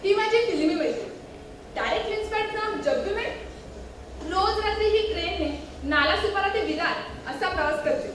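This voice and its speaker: A woman's voice: extremely high pitch, normal speed, background noise. A woman playing a frank, practical young city woman in a stage play, speaking matter-of-factly. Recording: echoey stage, distant mic, loud music.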